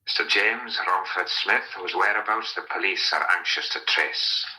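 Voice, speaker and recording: A man's voice: low-pitched, moderate pace, sharp tone, low-quality recording. An actor playing a jealous, suspicious young countryman, curt and sarcastic, in a vintage radio drama. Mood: sullen, accusing.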